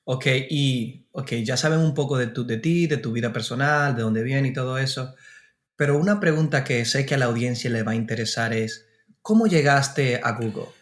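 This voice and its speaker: A man's voice: mid-range voice, moderate pace. A man with a Dominican accent hosting a podcast, giving prepared introductions and asking questions. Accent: Dominican Spanish. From Dominican Republic. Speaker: podcast host. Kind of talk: podcast interview.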